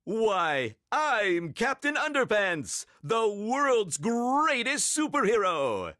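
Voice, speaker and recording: A man's voice: sits high, sing-song, slow pace, sharp tone, no echo, clean studio sound, voice pushed up, full character voice. A grandiose, silly cartoonish superhero played by a male storybook reader proclaims in a raised, swooping voice. He is proud and heroic, declaiming like a champion of justice.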